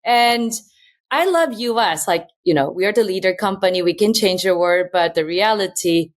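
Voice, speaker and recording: A woman's voice: mid-range voice, expressive, normal speed. A woman in her thirties from Japan with a Japanese accent, talking earnestly as an interview guest, filling her sentences with "you know" and loose phrasing. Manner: earnest, chatty, many "you know" fillers, loose grammar. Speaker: guest, company founder. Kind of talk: casual interview talk.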